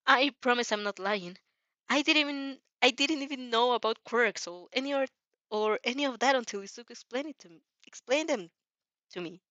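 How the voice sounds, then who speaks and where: A woman's voice: fairly high pitch, normal speed, bright, forceful tone, no echo, dull audio, pitched above the performer's own voice. A woman voicing a young vampire girl, earnest, blunt and stubbornly determined. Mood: pleading, earnest.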